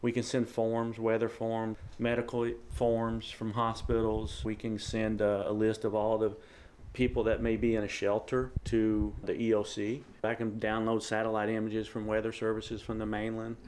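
A man's voice: fairly low pitch, monotone, normal speed, darkish tone, slight background noise. A middle-aged man, explaining things informally as an interviewed radio operator.

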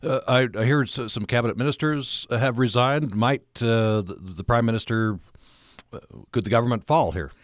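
A man's voice: mid-range voice, normal speed, no echo. A man hosting a radio interview, asking questions with hesitant stammers and restarts. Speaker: radio host.

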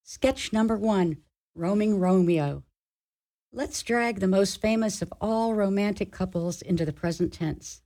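A woman's voice: medium pitch, unhurried, mellow, soft background music. A woman narrating an amateur radio drama from a script, setting up each sketch.

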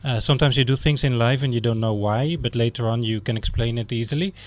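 A man's voice: medium pitch, fast talker. Reflective man from the Netherlands. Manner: reflective, hesitant restarts. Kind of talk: casual interview chat.

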